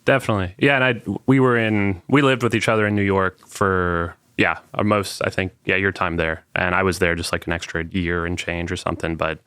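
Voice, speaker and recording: A man with a low but bright, smooth voice, talking as a guest musician in a casual interview. He is easygoing and agreeable, stringing thoughts together with small fillers. The recording is close and dry. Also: steady tone, a little fast, hardly any pauses, dry recording. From North Carolina. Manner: easygoing, affirming, filler-heavy. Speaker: band member guest.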